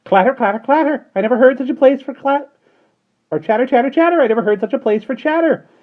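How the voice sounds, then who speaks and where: A cheeky storybook starling, shrill and very high, squawking loudly and pompously. It bosses everyone about. The recording is dull and lo-fi. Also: male voice, quick pace. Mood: scolding. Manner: loud, pompous and bossy.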